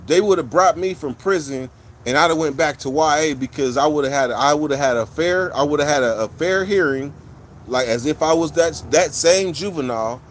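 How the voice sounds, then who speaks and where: A man talks to his stream viewers in a casual, rambling way, full of street slang and cursing. His voice sits a little higher than most men's. A faint hiss of outdoor noise runs under it. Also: normal speed. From California. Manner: blunt, profane street slang, rambling storytelling. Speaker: livestream host. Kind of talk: casual livestream chat with viewers.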